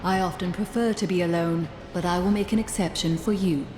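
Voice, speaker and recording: An actress playing a stern, self-reliant demon hunter in a video game, cool and formal. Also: female voice, on the low side, fairly even intonation, fairly quick, lightly acted. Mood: aloof. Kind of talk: dramatic video game acting. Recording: loud game music behind.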